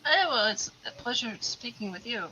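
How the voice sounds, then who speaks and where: A woman's voice: a little high, expressive, fairly quick, highs cut off, a crowd in the background. A woman whose first language is English, a tarantula keeper chatting casually as a guest on a live stream and explaining things at length. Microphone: video call.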